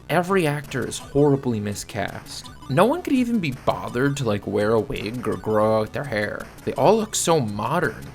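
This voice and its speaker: Guy doing a sardonic film review, gravelly creak, really animated, bit of music under him. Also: medium pitch. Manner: blunt, exasperated, sardonic. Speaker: video reviewer. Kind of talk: scripted film review commentary.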